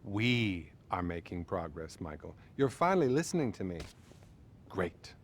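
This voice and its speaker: Condescending therapist, slightly low voice, animated intonation, measured, placating, game dialogue, faint rumble behind. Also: male voice, full character voice. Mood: encouraging. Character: smooth-talking, patronizing male therapist. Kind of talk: naturalistic video game acting.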